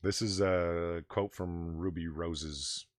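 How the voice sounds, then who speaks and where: A man hosting a live comics news stream, ranting with a booming voice and plenty of profanity. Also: steady tone, slow, dry recording, studio quality. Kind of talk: opinionated live-stream commentary.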